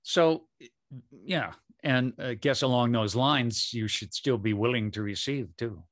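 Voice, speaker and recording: Calm reflective man. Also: mid-range voice, a little slow. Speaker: podcast guest. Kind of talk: casual interview conversation.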